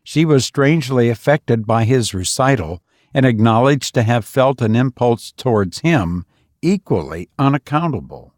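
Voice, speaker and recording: Male audiobook narrator, unhurried and measured, solemn literary delivery, close dry sound. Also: mid-range voice, no echo. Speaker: audiobook reader.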